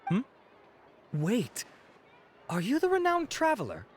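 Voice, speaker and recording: An actor voicing a courteous, self-assured and vain beauty researcher in a video game, speaking formally and smoothly. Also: male voice, very high-pitched, wildly swooping pitch, slow, heavy background noise, exaggerated voice. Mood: courteous, intrigued. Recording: game music behind the voice.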